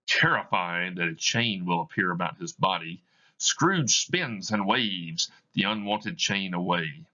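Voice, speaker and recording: A man's voice: sits low, lively intonation, unhurried, pushed and bright, highs cut off. A man reading a play aloud, giving scripted narration of the stage directions. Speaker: reader of a play.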